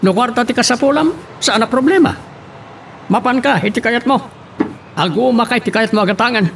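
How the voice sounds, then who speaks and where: Impatient Filipino businessman dad, commanding, very gravelly, slightly off-mic, radio serial. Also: extremely high pitch, brisk, fairly bright tone, far from the mic, slight background noise, exaggerated voice. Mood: curt, irritated. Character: curt, impatient wealthy businessman and father. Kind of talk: Filipino radio drama acting.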